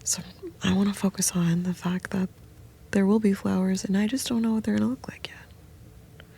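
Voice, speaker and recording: A woman whispering soft, meandering, intimate late-night rambling from the floor of a small sound booth. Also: medium pitch, fairly even intonation, normal speed, vocal fry, breathy edge. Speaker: host.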